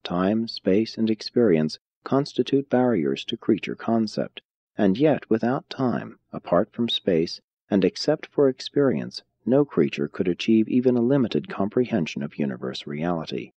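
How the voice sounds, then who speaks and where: A man reading an audiobook of philosophical religious text in a measured, solemn, deliberate way. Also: lowish voice, very animated, warm tone. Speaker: audiobook narrator. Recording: dull, muffled lo-fi audio.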